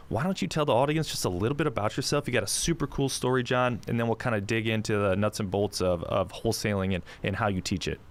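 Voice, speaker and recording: A man hosting a podcast interview, upbeat and joking, with plenty of fillers and restarts. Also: lowish voice. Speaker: podcast host. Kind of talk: casual interview chat.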